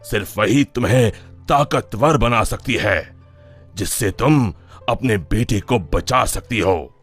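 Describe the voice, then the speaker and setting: A man voicing a solemn holy man in an animated story, giving grave, measured counsel. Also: fairly low pitch, husky, heavily acted. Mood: grave, advising. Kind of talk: animated moral story dialogue. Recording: music under the voice.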